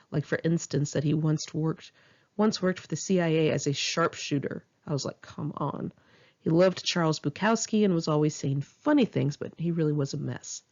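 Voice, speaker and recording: A woman's voice: low-pitched, darkish tone, muffled recording. A woman reading her own memoir aloud in an informal audiobook style, candid, wry and conversational. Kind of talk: informal first-person audiobook narration with asides.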